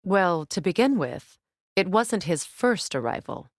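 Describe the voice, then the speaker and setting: A battle-hardened warrior goddess for a game, lowish voice, pitch moves a lot, proud and dry. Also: female voice, sing-song, unhurried, no echo. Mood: calm, reflective. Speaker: video game voice actress. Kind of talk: video game character dialogue and battle callouts.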